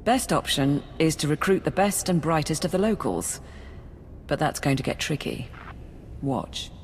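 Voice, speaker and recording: A woman playing a brisk, dry-witted Imperial military aide in a video game, businesslike and wry. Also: sits low, animated, slight background noise. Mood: briefing. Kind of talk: video game briefing dialogue. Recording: game music behind the voice.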